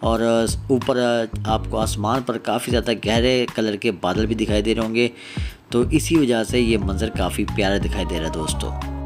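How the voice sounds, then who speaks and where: A man with a Pakistani accent narrating a travel vlog casually, explaining things to his viewers as friends. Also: lowish voice. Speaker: travel vlogger. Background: background music.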